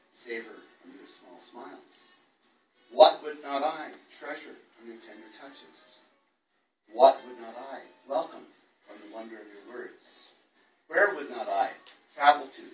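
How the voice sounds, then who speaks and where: A man's voice: medium pitch, fairly bright tone. A man speaking as a poet at a live reading, moving between informal, wordy, self-deprecating remarks and his own verse. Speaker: poet reading own work at a book launch. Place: echoey room at a live event. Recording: roomy, distant event microphone.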